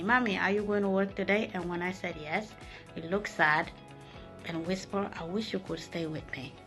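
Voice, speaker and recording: A woman from Sierra Leone, a nurse and mother, telling a heartfelt story in a soft, sometimes halting way. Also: on the low side. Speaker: nurse sharing a testimonial. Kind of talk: emotional personal testimonial.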